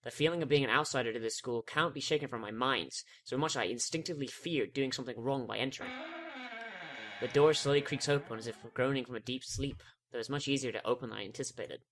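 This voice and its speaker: A man's voice: medium pitch, quick pace, fairly bright tone. A man reading a visual novel aloud, cutting in with sarcastic, sweary jokes. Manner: sarcastic, swears freely, breaks off to joke. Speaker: let's player.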